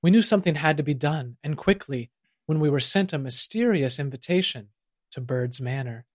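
A man's voice: on the high side, expressive, normal speed, no echo, studio quality. A man narrating a scripted comic audio drama in a mock-solemn, dramatic manner. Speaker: podcast host narrating a comic play.

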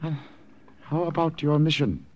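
A man's voice: on the high side, lively intonation, background noise, lightly acted. An actor playing a dignified, proud Siamese statesman, speaking formally and theatrically in 1940s radio style. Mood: courteous. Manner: measured, formal, theatrical delivery. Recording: muffled old radio recording.

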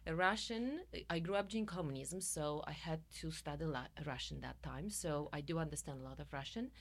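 A middle-aged woman with a Polish accent talks in a fairly low register. She is chatty and effusive, answering casually as a guest in an interview. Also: brisk. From Poland. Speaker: podcast guest, musician and coach.

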